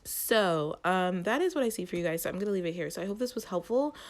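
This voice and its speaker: A woman reads tarot cards for her audience, her voice nasal and stuffy from allergies. She sits a little low in pitch for a woman and keeps pausing and restarting, filling the gaps with "like" as she feels her way toward the next phrase. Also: expressive. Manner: halting, searching for words, many "like" fillers. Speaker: tarot reader and channel host. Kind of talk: spoken tarot reading to viewers.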